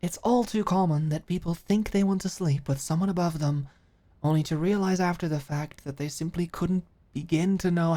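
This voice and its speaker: A woman playing an earnest, caring office manager in an intimate ASMR roleplay, speaking haltingly and with open emotion. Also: on the low side. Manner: halting, sighing, emotionally expressive.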